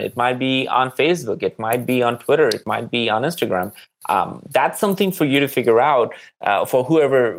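A man speaking as a marketing guest in an interview, conversational and earnest, repeating words for emphasis. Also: medium pitch.

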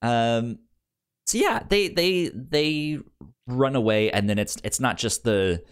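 Stammering podcast host with lively, swinging pitch. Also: takes it slowly, clear, resonant tone. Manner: stammers, repeating syllables and words. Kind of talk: casual chat about a film.

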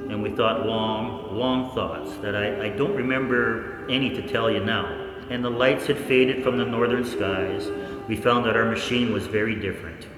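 A man playing a researcher who narrates his own experiment in a 1940s-style radio play, reflective and determined, with deliberate pauses. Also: on the low side, steady tone, music in the background, lightly acted. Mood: reflective, wondering. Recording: echoey hall, faint background noise.

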